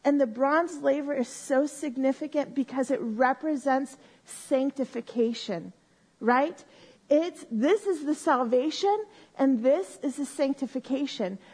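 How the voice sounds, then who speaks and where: A woman teaching a Bible lesson to a live group on a microphone, explaining slowly and step by step and often checking in with "right?". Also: sits high, rough recording. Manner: says she has a loud voice. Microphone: handheld or stand mic.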